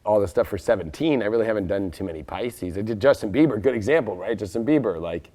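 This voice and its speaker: A man with a raspy voice hosting a casual live tarot reading, rambling and excitable, with lots of fillers and sudden loud outbursts. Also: lowish voice, fast. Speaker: tarot reader and astrologer.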